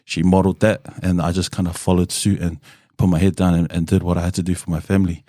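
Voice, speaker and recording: A man, a podcast guest telling his life story in a relaxed, open way with plenty of "um" and "kinda". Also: sits low, fast talker, dry recording, studio quality. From New Zealand. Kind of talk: candid conversational storytelling.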